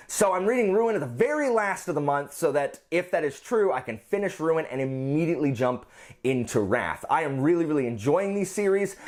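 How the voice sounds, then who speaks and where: Male book vlogger, voice on the higher side, chatty and informal, effusive and emphatic delivery. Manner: gushing, emphatic, repeats phrases for emphasis. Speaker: book reviewer and channel host. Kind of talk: casual chatty book vlog.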